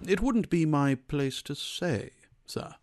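An actor playing a courteous, well-travelled head butler of an English estate, formal and deferential, in a radio comedy-mystery. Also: male voice, fairly high pitch, expressive, takes it slowly, a little mellow. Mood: formal, discreet.

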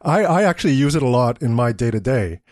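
A man's voice: medium pitch, expressive, fast, a little mellow, no echo, studio quality. A man from Canada with a Canadian accent, a lecturer chatting casually as a guest in an interview. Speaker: podcast guest, university lecturer.

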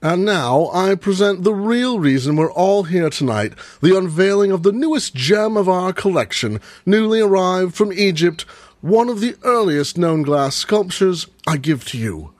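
Actor as high-pitched, grandiose museum director. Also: male voice, expressive, a little slow, dry recording. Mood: grand, proud. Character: grandiose, alarmed museum director unveiling a treasure. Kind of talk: parody of 1940s radio drama.

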